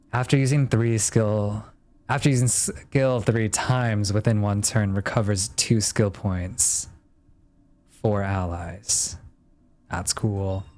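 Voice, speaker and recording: A man's voice: fairly low pitch, a little mellow. A man streaming a video game with casual live commentary, tossing out exclamations and muttering as he reads along. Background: faint game music.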